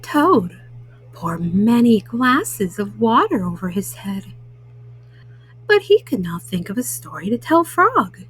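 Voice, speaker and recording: A woman telling bedtime stories to small children in a gentle manner, as a storyteller. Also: medium pitch, big pitch swings, takes it slowly, husky, rough recording.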